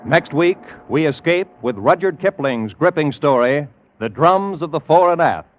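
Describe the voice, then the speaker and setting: A man announcing formally and in a measured way, in 1940s radio style. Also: fairly high pitch, animated, noisy background. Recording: muffled old radio broadcast, music behind.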